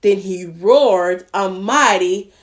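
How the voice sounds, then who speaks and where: A woman reading a rhyming picture book aloud, expressive, with a deliberate rhythmic delivery. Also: mid-range voice. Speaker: storytime reader.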